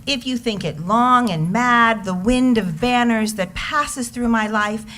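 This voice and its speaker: A woman giving a dramatic, lingering recitation of poetry as a reader in readers theater. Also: a little high. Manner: slow, expressive, lingering phrasing.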